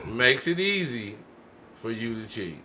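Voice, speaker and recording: Guy hosting a podcast, bright punchy voice, slangy and chatty, little bit of room noise. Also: mid-range voice, sing-song, slow pace. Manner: slangy, repeats tag phrases. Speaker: podcast host. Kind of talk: casual chat. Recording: noisy room ambience.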